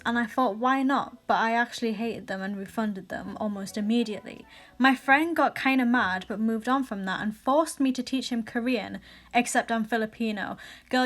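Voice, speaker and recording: A young woman reading viewer stories aloud in a casual vlog, with sassy asides and quick restarts. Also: fairly high pitch, a bit bright. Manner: chatty, sassy asides, frequent laughs and restarts. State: recently sick.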